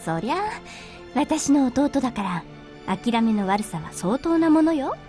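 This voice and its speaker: A woman's voice: a little high, big pitch swings, a little fast, warm tone, soft background music. A woman playing a proud, fond older sister in a visual novel. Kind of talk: Japanese visual novel voice acting.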